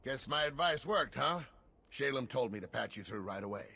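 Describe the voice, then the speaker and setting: An actor playing a harried, flippant comms helper in a video game, casual in manner. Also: male voice, medium pitch, expressive, normal speed, vocal fry, sharp tone, a little hiss or hum. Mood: breezy. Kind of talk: video game cutscene acting.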